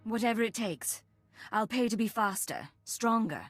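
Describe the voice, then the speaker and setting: A woman's voice: a little high, moderate pace, no room sound, soft background music, close to an everyday voice. A woman voicing a confident, deadly imperial agent in a video game, composed and businesslike. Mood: feigned desperation.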